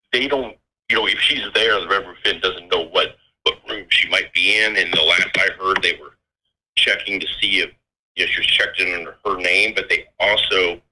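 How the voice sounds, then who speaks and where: Very bright, low-pitched man talking informally. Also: rough recording. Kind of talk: informal spoken account of scanner reports.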